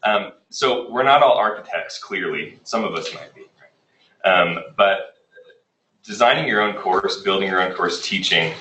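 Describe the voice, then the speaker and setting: Low-voiced man getting over a cold. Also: a little slow. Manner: relaxed, conversational with fillers. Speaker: workshop presenter, instructional design manager. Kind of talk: workshop presentation. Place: classroom or meeting room. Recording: echoey room, voice from far off.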